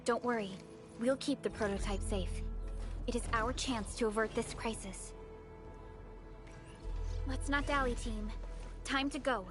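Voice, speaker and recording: Woman acting composed, higher-voiced female leader. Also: a little slow, long pauses, close to an everyday voice. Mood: resolute, reassuring. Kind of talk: video game voice acting. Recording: music behind the voice.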